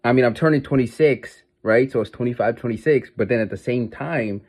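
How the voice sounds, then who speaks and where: A man's voice: medium pitch, fairly quick, a little mellow. A man in his twenties talking in a casual, confessional vlog monologue, reflective and hesitant as he rambles through his thoughts. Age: 20s. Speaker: content creator.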